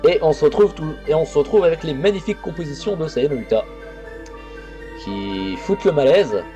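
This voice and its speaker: A man's voice: a little high, lively intonation, fairly quick, warm tone, muffled recording, faint music behind. A man hosting a let's play, chatting casually over a horror visual novel with crude jokes and frequent restarts. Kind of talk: casual game commentary.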